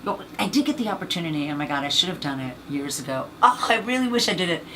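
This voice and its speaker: A woman with a husky, low voice, chatting as an interview guest about her acting career, rambling and filling gaps with "you know.". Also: animated, fast talker, a bit bright, slight background noise. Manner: rambling, many fillers. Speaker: actress and voice actor guest. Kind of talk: casual interview chat.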